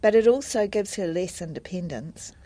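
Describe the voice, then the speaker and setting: A woman acting a helpful, friendly adviser in a scripted listening-test dialogue, speaking in a measured, explanatory way. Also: on the low side, lively intonation, warm tone, no room sound. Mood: helpful, calm.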